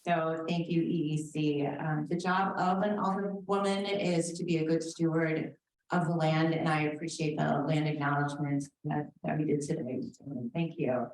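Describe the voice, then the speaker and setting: A woman's voice: sits low, fairly even intonation, normal speed, a little mellow. A woman candidate reading prepared remarks from notes, with occasional fillers. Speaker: election candidate. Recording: echoey room, distant mic.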